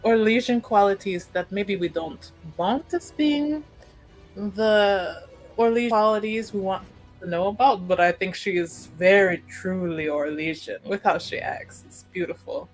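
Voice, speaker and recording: A woman playing a reserved, thoughtful noble niece in a tabletop fantasy roleplay, with hesitant, deliberate phrasing. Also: mid-range voice, faint music behind, close to an everyday voice, rough recording. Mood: fond, earnest.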